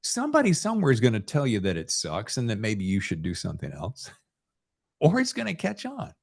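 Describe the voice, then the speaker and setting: An American man hosting a podcast, telling anecdotes in a casual, animated storytelling manner. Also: fairly low pitch, a little fast, gravelly. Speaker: podcast host; former radio morning show host.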